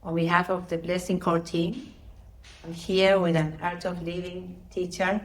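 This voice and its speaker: Lower-pitched woman interviewer. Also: flat delivery, a little slow. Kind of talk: polite, prepared interview questions.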